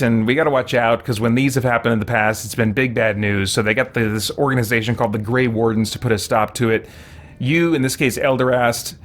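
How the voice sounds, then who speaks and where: A man giving casual, rambling, self-deprecating gameplay commentary as a streamer. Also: medium pitch. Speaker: streamer, let's play host. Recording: music playing behind the voice. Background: game music.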